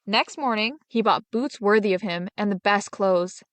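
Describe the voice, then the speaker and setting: A woman storyteller reading a fairy tale aloud in an expressive, dramatic manner. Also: medium pitch, normal speed, no room sound. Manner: dramatic, animated.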